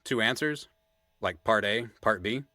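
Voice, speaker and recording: A low-voiced man , an actor, plays a probing, teasing interviewer and old friend. His voice is bright and crisp-edged, recorded very dry. It is natural audio drama dialogue. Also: unhurried, no room sound.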